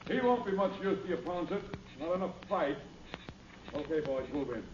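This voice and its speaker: A man plays a menacing American land baron in an old radio Western. His high voice taunts and gives orders with a sneer. The sound is muffled and clearly noisy. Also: animated, normal speed, natural voice. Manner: taunting, commanding. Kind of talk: 1950s radio drama acting.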